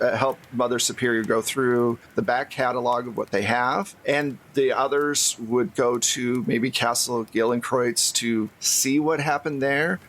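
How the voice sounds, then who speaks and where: A man's voice: mid-range voice, a bit bright, slight background noise. Man running a tabletop game. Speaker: game master. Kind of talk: narrating a tabletop roleplaying game.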